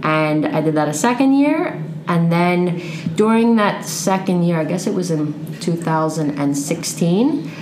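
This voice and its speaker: Low-voiced young woman in an echoey room. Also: expressive, unhurried. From Pennsylvania, United States. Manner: chatty, storytelling, easy laughs. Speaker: guest. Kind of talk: casual interview. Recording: echoey room, faint background noise.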